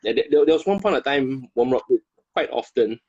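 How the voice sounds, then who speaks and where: A man from Singapore with a Singaporean English accent, chatting as a guest, halting and repeating words. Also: mid-range voice, lively intonation, darkish tone, husky, no echo, lo-fi sound. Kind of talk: casual chat.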